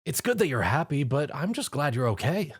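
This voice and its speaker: A man's voice: fairly high pitch, very animated, fairly quick, slightly rough, pitched above the performer's own voice. Man doing a jumpy timid young man. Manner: nervous, whiny, stammering.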